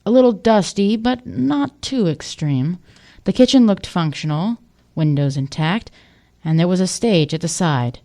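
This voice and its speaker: Woman, narrator, very low pitch, dark mellow tone, scripted audio drama storytelling. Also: lively intonation, unhurried.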